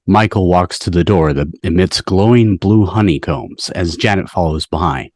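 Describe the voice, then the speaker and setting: A man's voice: low-pitched, moderate pace, darkish tone, dry recording. A man reading scripted stage directions as the narrator of a table read. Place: online video call. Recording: dry video-call audio.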